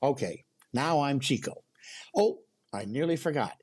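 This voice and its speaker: A man's voice: mid-range voice, lively intonation, unhurried, a little mellow, slightly rough. A man playing a hapless, befuddled and meek fellow who gets flustered, in an old-time radio comedy.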